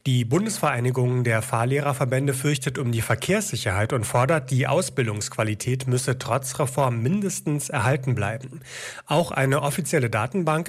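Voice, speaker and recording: A man reading a scripted radio news report. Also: mid-range voice.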